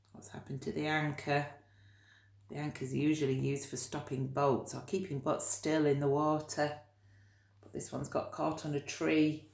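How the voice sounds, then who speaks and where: A woman with a low voice tells a children's story as a teacher would. Her pace is unhurried and her intonation lively, with questions put directly to the listeners. The sound is roomy and echoey. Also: gravelly. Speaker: teacher reading a storybook to pupils. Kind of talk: animated children's storytelling with friendly asides.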